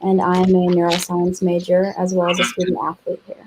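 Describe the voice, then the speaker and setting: A woman's voice: on the low side, completely flat delivery, a little fast, darkish tone, slight background noise, low-quality recording. A young woman in college giving a brief self-introduction as a guest. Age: young adult.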